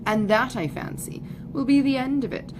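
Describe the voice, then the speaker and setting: A woman voicing a conceited, foppish young gentleman in an audiobook, with a pompous, affected, effusive manner. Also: sits high, normal speed, pitched above the performer's own voice, close to an everyday voice. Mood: boastful, effusive. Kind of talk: audiobook character voice. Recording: clearly noisy recording, background ambience.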